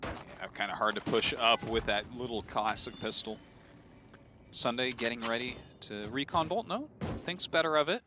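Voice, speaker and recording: A man calling live esports play-by-play, excitable and quick with his calls. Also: medium pitch, background noise. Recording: commentary over loud game music.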